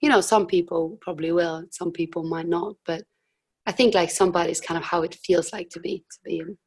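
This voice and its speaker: A young woman with a husky voice, a musician guest chatting casually in an interview, hesitant with frequent restarts. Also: on the low side, steady tone, fairly quick, a little mellow.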